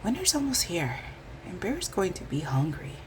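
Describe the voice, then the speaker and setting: A woman's voice: on the low side, huge pitch swings, moderate pace, noisy background, voice pushed up. A woman voicing a small, stubborn, kind-hearted storybook mouse with an earnest, emphatic manner. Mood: dejected.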